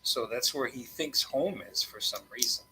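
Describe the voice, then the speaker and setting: A man's voice: medium pitch, normal speed, background noise. A man chatting casually as he gives his thoughts on what was read. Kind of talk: casual chat.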